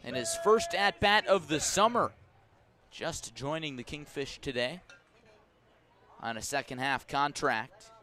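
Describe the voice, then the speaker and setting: An American man doing live baseball play-by-play, measured and descriptive between pitches and emphatic on the action, speaking from a ballpark press box. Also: pushed and bright. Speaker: baseball play-by-play radio announcer. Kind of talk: live sports commentary.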